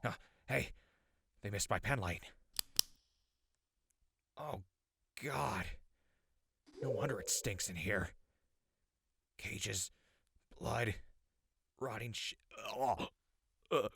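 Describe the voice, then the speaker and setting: An actor playing a rattled captive survivor in a post-apocalyptic audio drama, muttering halting notes into his recorder in a gravelly, husky voice. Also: lowish voice, sharp tone, heavily acted. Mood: panicked. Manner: halting, muttering to himself, exclaiming.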